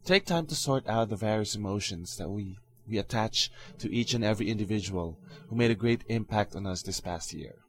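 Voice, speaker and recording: Earnest low-voiced male podcaster. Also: fairly quick, hardly any pauses. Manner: calm, earnest, with soft fillers. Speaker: podcast host. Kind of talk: reflective solo podcast monologue.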